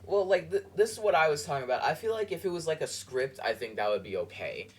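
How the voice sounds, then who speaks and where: A man hosting a podcast, reacting to a clip in a rambling, halting way with many fillers. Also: on the high side, animated, slightly rough. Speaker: podcast host. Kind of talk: casual commentary over a clip.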